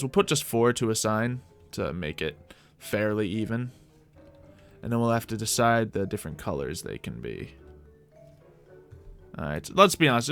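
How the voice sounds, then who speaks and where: A man's voice: fairly low pitch, gravelly, a bit bright. A man hosting a gaming series, thinking aloud in light roleplay with mock-archaic, formal fantasy phrasing. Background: music.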